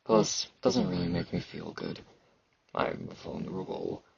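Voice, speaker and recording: A man playing a weary, aloof immortal fantasy knight in an intimate ASMR roleplay, slow and brooding, with a gravelly, husky voice and sibilant S sounds. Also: sits low, wildly swooping pitch, a little mellow, highs cut off, a little hiss or hum, heavily acted. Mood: casual, aloof. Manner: slow, brooding, casual about grim things.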